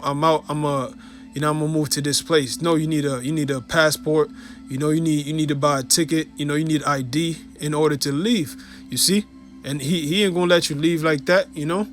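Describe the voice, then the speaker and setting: A man's voice: fairly high pitch, fairly even intonation, a little fast, faint music behind. A man with a Haitian accent giving an informal religious teaching, peppered with "you know" and "you see". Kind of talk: informal preaching video.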